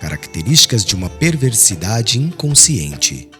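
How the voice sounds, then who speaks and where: A man reading an audiobook as dramatic literary narration, in a solemn, formal and expressive manner. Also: lowish voice, normal speed. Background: background music.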